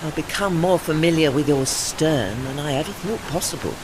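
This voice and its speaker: Man playing a lofty, high-voiced old warship. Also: moderate pace, noisy background, lightly acted. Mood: dry, lofty. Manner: formal, dry, faintly pompous diction. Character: proud ageing old warship, refined, educated and witty. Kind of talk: audio play acting.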